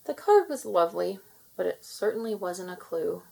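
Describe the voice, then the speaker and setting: A woman reading a children's storybook aloud in expressive narration for kids. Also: on the low side, moderate pace, vocal fry, poor audio.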